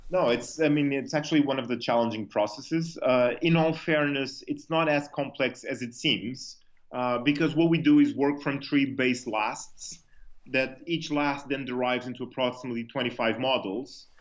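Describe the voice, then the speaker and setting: This is a man from Portugal giving conversational answers as a business guest in an interview. His voice is mid-pitched. The recording is somewhat dull and lo-fi. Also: moderate pace. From Lisbon, Portugal. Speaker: company co-founder, interview guest. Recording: dull, lo-fi sound.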